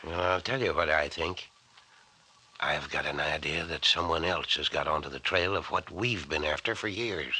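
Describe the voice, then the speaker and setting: An actor with an American accent playing an excitable, nervous small-town doctor in an old-time radio mystery serial. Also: male voice, extremely low pitch, normal speed, husky, full character voice. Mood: confiding, suspicious. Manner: excitable, folksy exclamations. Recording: faintly noisy old-time radio recording.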